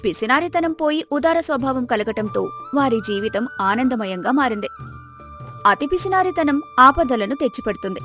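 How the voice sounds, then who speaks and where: High-pitched woman narrating a story. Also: animated, normal speed, fairly bright tone, soft background music. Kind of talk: scripted moral story narration.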